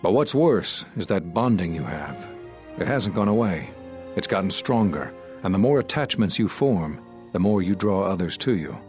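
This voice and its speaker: An actor playing a solemn, regretful Jedi master in a video game, speaking in a measured, sombre and earnest way. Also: male voice, low voice, animated, a little mellow, faint music behind. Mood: troubled. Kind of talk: dramatic video game dialogue.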